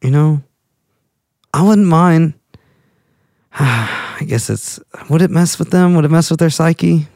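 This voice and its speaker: A man's voice: on the high side, takes it slowly, pauses often, darkish tone, no echo, studio quality. Goofy rambling Texan guy in his late twenties. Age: late 20s. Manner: goofy, rambling, self-interrupting. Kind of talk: casual rambling monologue.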